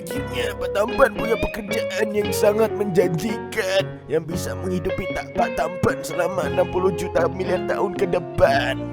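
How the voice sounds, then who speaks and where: A man's voice: sits high, normal speed, hardly any pauses, natural voice. A man voicing an elderly, self-important, dim-witted cartoon shopkeeper who grumbles and chatters in a whiny way, often about himself in the third person. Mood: proud, rambling. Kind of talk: cartoon voice acting in a comedy horror skit. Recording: voice under loud instrumental music.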